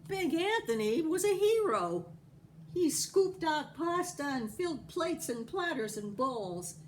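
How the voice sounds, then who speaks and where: A woman reading a picture book aloud in an expressive, animated storytelling style. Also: high-pitched, slow pace, a little hiss or hum. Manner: animated, acting out the dialogue. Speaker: storybook reader.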